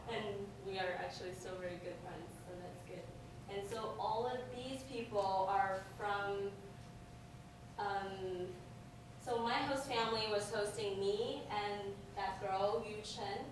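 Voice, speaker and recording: A young woman with a Chinese accent, a former student giving a halting presentation full of ums and restarts. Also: medium pitch, steady tone. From China. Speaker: alumni speaker. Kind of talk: presentation talk with slides. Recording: echoey room from far off, noise.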